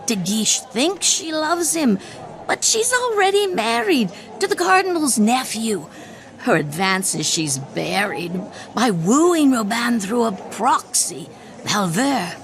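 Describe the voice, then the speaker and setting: An actress playing a witty, boozy woman poet with theatrical bravado in a radio play, in a wry, declamatory, halting tipsy manner. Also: fairly high pitch, sing-song, full character voice. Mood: amused, gossipy. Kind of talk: radio theatre acting in verse.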